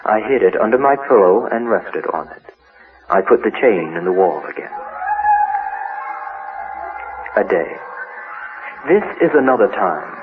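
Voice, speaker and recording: A man's voice: lowish voice, expressive, moderate pace, lightly acted. A man voicing a chained, hurt monster child in a horror monologue, telling its story in simple, broken childlike sentences. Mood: fearful, secretive. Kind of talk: first-person dramatic horror monologue. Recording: noisy, tinny narrow-band radio-like sound.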